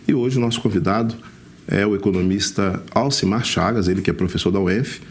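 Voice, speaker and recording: Man, Brazilian accent, deep voice, TV interview host, broadcast presenting style. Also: a little fast.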